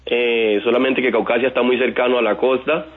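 A man's voice: mid-range voice, level tone, fairly quick. Young Colombian man on a phone line. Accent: Antioquian with Colombian Caribbean coast touches. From Antioquia, Colombia. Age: 20s. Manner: earnest, many "eh" fillers and tag "¿verdad?". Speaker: inventor guest. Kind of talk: radio interview answers.

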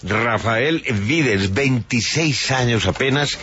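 A man's voice: mid-range voice, expressive, takes it slowly, sharp tone. A man hosting a radio interview, friendly and congratulating his guest. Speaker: radio host. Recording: dull lo-fi radio sound.

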